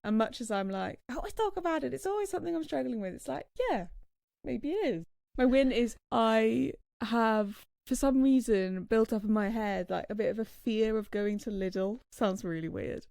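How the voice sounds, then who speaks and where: Female co-host, casual and unsure, dark gravelly tone, dry sound. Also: fairly high pitch, lively intonation, normal speed, no echo. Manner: hesitant, lots of fillers and restarts. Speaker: podcast co-host. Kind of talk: casual podcast chat.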